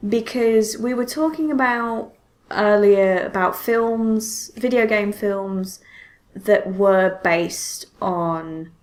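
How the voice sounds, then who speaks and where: A woman's voice: mid-range voice, slow, a little mellow. A woman hosting a podcast in casual chat, telling things expressively with frequent self-interruptions and exclamations. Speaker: podcast host.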